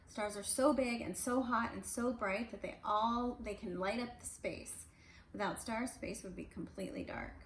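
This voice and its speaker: Woman doing casual story time for kids, highish voice, roomy echo n some noise. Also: fairly bright tone. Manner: chatty asides to child between read passages. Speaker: parent reading storybook aloud. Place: indoors at home.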